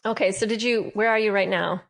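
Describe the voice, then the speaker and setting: A woman's voice: medium pitch, steady tone, brisk, gravelly. A woman hosting a podcast, reading sponsor copy and interviewing a guest in a friendly, upbeat way. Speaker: podcast host.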